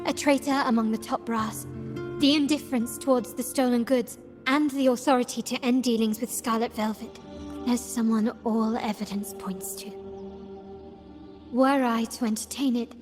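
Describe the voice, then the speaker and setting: Woman acting poised young heiress in a game, highish voice, deliberate and formal, big reverb, music under. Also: close to an everyday voice. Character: composed, analytical young heiress of a powerful family. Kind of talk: scripted game dialogue.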